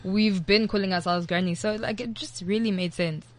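Woman from South Africa, 20s, casual, chatty, lively storyteller. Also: medium pitch, normal speed, a crowd in the background. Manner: chatty and animated, peppered with "like". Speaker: guest DJ. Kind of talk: casual interview chat.

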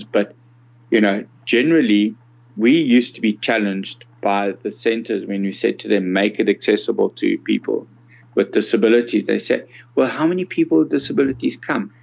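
A South African man in his sixties giving measured, explanatory answers in a conversational interview. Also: fairly low pitch, vocal fry, darkish tone, dull audio. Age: 60s. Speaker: interview guest, accessibility consultant.

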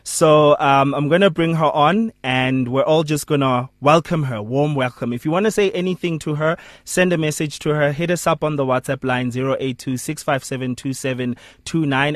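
A man's voice: a little high, no room sound. Guy hosting live radio, chatty, jokey, dry close studio mic. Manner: chatty, laughs often, jokes with guest. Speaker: radio show host.

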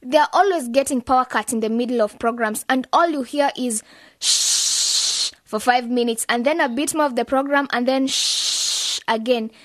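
High-voiced confident young woman played by an actress. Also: moderate pace, a bit bright, no echo, close to an everyday voice. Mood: matter-of-fact. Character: confident, idealistic young woman leading a youth music club. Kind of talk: radio drama acting.

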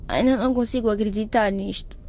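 A woman's voice: mid-range voice, steady tone, fast talker, noisy background, close to an everyday voice. A woman acting in a radio drama as a distressed young woman, anxious and pleading. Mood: shocked, distressed.

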